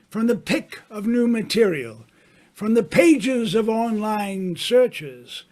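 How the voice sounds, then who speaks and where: A man's voice: high voice, a little mellow. A man announcing in old-time radio style, grandiose and suspenseful, drawing out his phrases. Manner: grandiose, suspenseful, drawn-out delivery.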